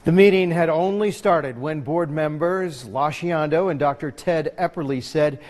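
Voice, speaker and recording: A man anchoring a live evening newscast in a brisk anchor delivery, handing off to a reporter. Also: on the high side, darkish tone, in a large hall. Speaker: news anchor.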